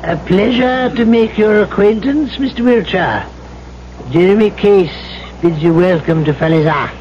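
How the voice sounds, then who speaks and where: An actor playing a suave, sly, cynical gentleman trader in an old-time radio drama, speaking in a glib, florid, over-polite way. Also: sits high, measured pace, slight background noise. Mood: smooth welcome. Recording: dull old radio recording.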